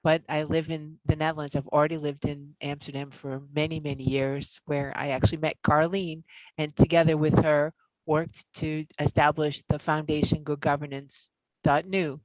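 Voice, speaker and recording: A woman's voice: low-pitched, little pitch movement, moderate pace, no echo, rough recording. A woman from the United States with an American accent introducing herself fluently on a video call. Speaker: workshop co-host.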